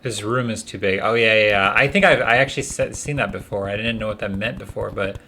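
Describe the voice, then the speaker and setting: A young man chatting casually with a friend while they play a game, laid-back and quick to laugh. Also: on the low side, vocal fry, sharp tone, a little hiss or hum. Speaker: friend co-playing on stream. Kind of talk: casual gaming chat.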